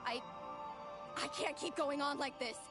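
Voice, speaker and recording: A woman's voice: high-pitched, a little slow. An actress playing an overworked young woman artist, exasperated and ranting at her boss. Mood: angry. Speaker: overworked employee. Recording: loud game music behind.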